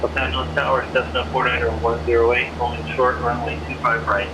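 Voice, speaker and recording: Quick male pilot over aviation radio. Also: mid-range voice, flat delivery. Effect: aviation radio transmission. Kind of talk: clipped radio readbacks. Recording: radio voice over clear engine noise.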